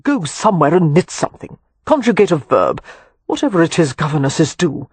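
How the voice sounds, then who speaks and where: A brusque, exasperated young lord, as a narrator reads him, curt and mocking toward everyone. The voice is slightly husky and recorded dry and close. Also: mid-range voice, very animated, a little fast, darkish tone, no echo. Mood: impatient. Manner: impatient, sardonic. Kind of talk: audiobook character voice.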